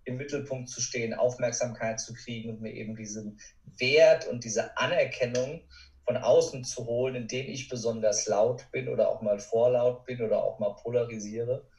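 A man's voice: medium pitch, fairly even intonation, moderate pace, a little mellow. A man giving earnest, reflective answers as a podcast guest, with emphatic repetition. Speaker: podcast guest, courage expert. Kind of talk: reflective conversational interview answers. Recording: roomy, distant from the mic.

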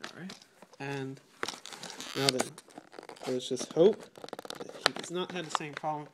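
A chatty teenage boy reviews toy trains at home, talking into a phone held close. He is still getting over a cold and keeps clearing his throat. Loud crinkling plastic packaging rustles clearly around his voice. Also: medium pitch, a little slow, heavy background noise. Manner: chatty, eager, apologetic, clears throat often. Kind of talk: casual unboxing review. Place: home room with patterned rug. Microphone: phone camera held close.

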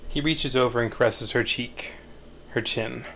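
A man's voice: mid-range voice, steady tone, measured pace, vocal fry, bright, forceful tone, a little hiss or hum. A man reading stage directions as scripted narration at a screenplay table read.

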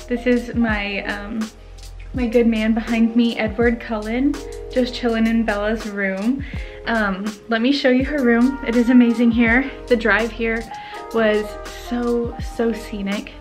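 A woman in her twenties, talking casually and excitedly to a handheld camera while touring a rental house. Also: fairly high pitch, a little slow, music in the background. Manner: bubbly, excited, filler-heavy. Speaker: travel vlogger. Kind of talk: casual vlog chat. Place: rental house indoors and forest outdoors. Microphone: handheld camera at arm's length.

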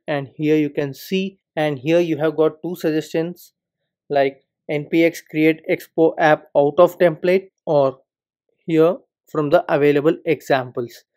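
A man talks through a coding tutorial over his screen recording. His voice sits a little high, and he moves from step to step in a calm, explanatory way. He keeps coming back to the word "particular".

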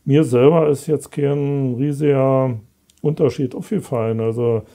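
A man, a guest author talking in a relaxed, rambling way with many fillers, on a lapel mic in a studio. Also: mid-range voice, measured pace, creaky. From Berlin, East Germany. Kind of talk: relaxed conversational interview. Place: studio set with brick wall.

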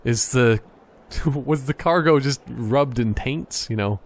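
A man's voice: mid-range voice, slow pace. A man giving casual, joking gameplay commentary, rambling in a silly, mock-serious way.

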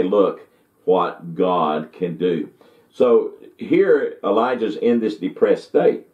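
Older guy teaching bible, lots of uh and restarts, kinda low voice, echoey room, sounds far off. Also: phone-quality sound. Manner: folksy, rambling, with many restarts and fillers. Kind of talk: Bible lesson to camera. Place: indoor study with bookshelves.

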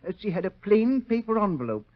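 A man's voice: sits high, very animated, a little fast. An actor playing a meek, flustered, fussy man who dithers and hesitates, in 1940s radio drama style. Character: meek, fussy, flustered brother of poisoning victim. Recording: muffled old-time radio recording.

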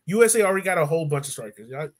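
A young man talks sports in a lively, swooping voice that sits a little high. His manner is joking and slangy, like a podcast host chatting with friends over a video call. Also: racing, crowd noise. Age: young adult. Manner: animated, joking, informal slangy talk. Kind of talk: casual sports podcast chat. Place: small room at home, video call.

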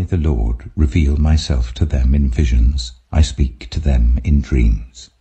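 A man voicing a stern, majestic God in scripture narration, grave, commanding and deliberate. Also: very deep voice, warm tone, voice pushed down, full character voice.

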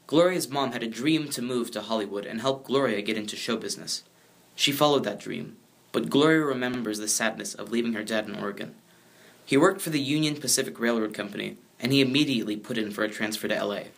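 An American man shares the narration of a biography. He reads prepared lines in a voice of medium pitch, acting as co-presenter. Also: fairly quick, creaky. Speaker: co-presenter, grandson of the subject.

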